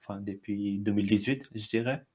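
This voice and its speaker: Soft-voiced Indian-born man, hesitant. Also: fairly low pitch, steady tone, brisk, husky. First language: Marathi. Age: 30s. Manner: hesitant, many fillers, frequent short laughs. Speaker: podcast guest. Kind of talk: casual interview chat.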